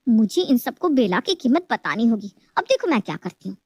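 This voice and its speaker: A woman playing a put-upon, scheming young daughter-in-law in an animated moral story, with a plaintive, exaggerated delivery. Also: a little high, brisk, slightly rough, dry recording, clean studio sound, full character voice. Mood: hurt, resentful.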